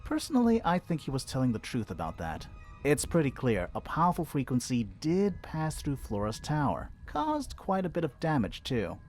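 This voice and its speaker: An eager male detective from a mystery game, reasoning aloud in a theatrical way. His pitch swings wildly from line to line, and music plays behind him. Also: huge pitch swings, normal speed, darkish tone. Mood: explaining, intrigued. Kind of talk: video game voice acting, dialogue. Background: background music.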